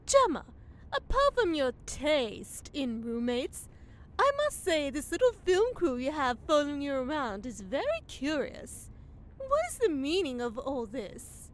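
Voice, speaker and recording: A woman's voice: high voice, very animated, a little slow. A woman playing a haughty, critical traditional fairy lady in a comedy audio drama, with snobbish, theatrical disdain. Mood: prim, critical.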